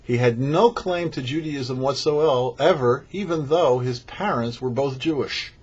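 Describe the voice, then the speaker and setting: A man's voice: mid-range voice, a little slow, darkish tone, breathy edge, dull audio. A man, a rabbi hosting a show, delivers prepared religious commentary in a didactic way, posing questions and then explaining.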